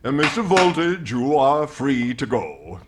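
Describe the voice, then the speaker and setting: A man's voice: medium pitch, very animated, a little slow. A man playing a flustered, polite, stammering judge in an old-time radio comedy. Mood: dithering, amiable. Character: flustered, hesitant courtroom judge, easily star-struck. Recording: noisy, with audience laughter behind.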